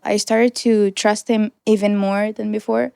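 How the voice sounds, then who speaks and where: A woman's voice: mid-range voice, little pitch movement, measured pace, gravelly, dark tone, clear, resonant tone, dry recording, studio quality. A young woman in her twenties, originally from Iran, earnestly telling her personal story as an interview guest. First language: Persian. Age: 20s. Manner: reflective, earnest, with small restarts and light laughs. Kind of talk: personal testimony in conversation.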